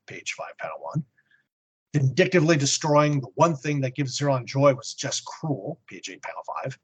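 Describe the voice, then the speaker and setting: Male podcast host, mid-pitched and husky, expressive scripted storytelling and review. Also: rough recording. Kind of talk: dramatic story narration and comic review.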